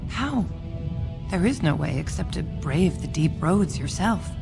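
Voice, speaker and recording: Grieving, creaky-voiced low dwarf widow. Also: female voice, animated. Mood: sorrowful, hesitant. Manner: halting, sorrowful, earnest. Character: grieving dwarf widow praying for her lost son. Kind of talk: video game acting. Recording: background music behind the voice.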